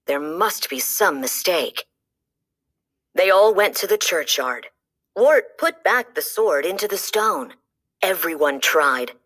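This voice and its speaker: A woman reading a children's storybook aloud, with a slow, animated storytelling manner. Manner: slow, lively storytelling with dramatic pitch swings. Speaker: storybook reader. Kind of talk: children's read-aloud narration.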